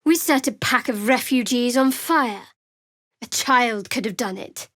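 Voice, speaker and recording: An actress voicing a guarded, sardonic young woman cleric in a video game, with a dry, wry and measured delivery. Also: on the high side, a bit bright, dry recording. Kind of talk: video game character voice acting.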